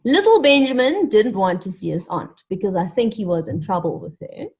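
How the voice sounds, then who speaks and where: A woman reading a story aloud to children, animated and encouraging, pausing to ask them questions directly. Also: mid-range voice, slightly rough. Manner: animated, encouraging, addresses young listeners directly.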